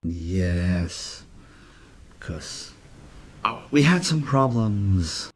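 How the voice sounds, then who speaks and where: A man's voice: sits low, animated, extremely slow, heavily acted. Hesitant old immigrant man. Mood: wistful. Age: 70s. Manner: rambling, hesitant, polite. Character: lonely, evasive elderly Polish immigrant man under questioning. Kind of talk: audio crime drama acting.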